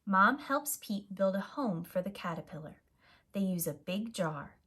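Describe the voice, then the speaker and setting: Female preschool storyteller, unhurried, very animated pitch, slight background noise. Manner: slow, expressive, simple deliberate phrasing. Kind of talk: read-aloud picture book narration for young children.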